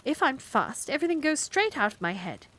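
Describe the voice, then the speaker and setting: A woman's voice: high-pitched, very animated, a little fast, fairly bright tone, pitched above the performer's own voice, full character voice. A woman doing a prim, flustered spinster witch. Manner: prim, short-tempered, easily flustered.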